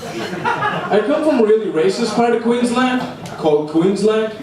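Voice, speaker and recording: Young guy doing standup, deadpan, highish voice, super echoey far from the mic. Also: unhurried, a crowd in the background. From immigrated to Australia at age eleven. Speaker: stand-up comedian. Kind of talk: live stand-up comedy. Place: indoor comedy room before a live audience. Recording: echoey, noisy room recorded from far off.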